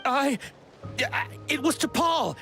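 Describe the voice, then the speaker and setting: Craven game henchman, high male voice, hesitant, stammering, pleading, light music behind. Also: animated, takes it slowly, bright, forceful tone, exaggerated voice. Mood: nervous, apologetic. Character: cowardly flustered male henchman, nervous informant. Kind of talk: scripted game voice acting.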